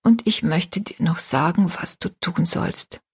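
A woman voicing a gravely ill, gentle and pious mother in a story, half-whispered, slow and earnest. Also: lowish voice, exaggerated voice. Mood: tender, weak.

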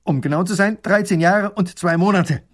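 A man's voice: high voice, moderate pace, pitched above the performer's own voice. A man voicing a proud, literal-minded soldier who answers in clipped, dutiful military phrases. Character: eager, literal-minded, proud young male guard.

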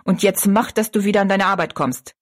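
A woman's voice: medium pitch, brisk, natural voice. A woman voicing a harsh, contemptuous stepmother in an audiobook story, stern and cutting. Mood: dismissive, harsh. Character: cold, scornful stepmother, harsh mistress of the house.

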